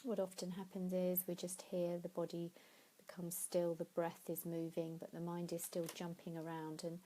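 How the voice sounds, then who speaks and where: A woman leading a guided sleep meditation in a soothing, unhurried way, pausing between phrases. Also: lowish voice, monotone, dark tone, low-quality recording. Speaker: yoga nidra meditation teacher.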